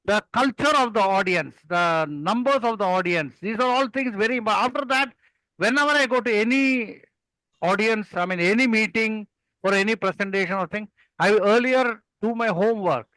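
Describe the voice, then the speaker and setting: Older South Indian man, high bright voice. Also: moderate pace, no room sound, rough recording. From Kerala, India. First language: Malayalam. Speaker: guest, experienced club speaker. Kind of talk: conversational storytelling and advice.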